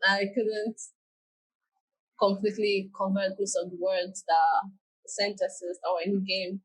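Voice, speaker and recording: A woman's voice: medium pitch, flat delivery, a little slow, slightly rough, rough recording. Young woman, chatty. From Nigeria. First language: Yoruba. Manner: chatty, many "like" fillers. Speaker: guest. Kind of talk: casual interview talk.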